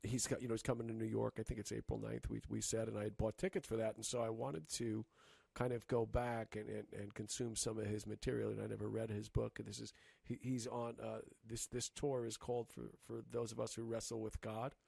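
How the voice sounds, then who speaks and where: A man co-hosting a casual podcast chat, talking in halting bursts with many restarts and fillers. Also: on the low side, flat delivery, vocal fry, mellow, slightly rough, no room sound. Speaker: podcast co-host.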